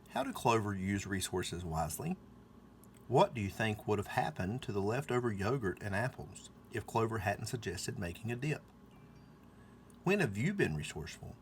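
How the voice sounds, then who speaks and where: Male children's storybook reader, mid-pitched with animated intonation, slight background noise. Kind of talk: children's story read-aloud.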